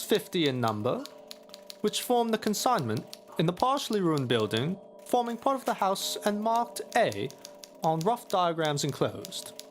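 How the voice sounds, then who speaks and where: Swooping, officious Victorian solicitor. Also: male voice, a little high. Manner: formal, clipped, officious. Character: formal, businesslike Victorian English solicitor dictating a letter. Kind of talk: dramatic audio drama letter reading.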